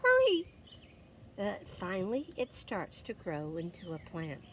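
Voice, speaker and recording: A woman storyteller reading a picture book aloud to young children, expressive and dramatic, with deliberate pauses and emphasis. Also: mid-range voice, slight background noise. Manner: expressive, dramatic pauses, gasps and exclamations for effect.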